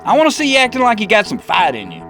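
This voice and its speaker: A man doing a swaggering, high-pitched preacher hustler. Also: wildly swooping pitch, racing, fairly bright tone, heavily acted. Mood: impatient, goading. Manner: booming, pushy, cocky. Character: brash, boastful male hustler preacher, bullying and swaggering. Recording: music bed under the voice.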